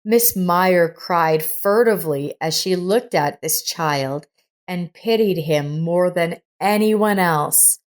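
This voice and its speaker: Slow-paced woman narrating a story. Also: fairly low pitch. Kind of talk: scripted audiobook-style narration.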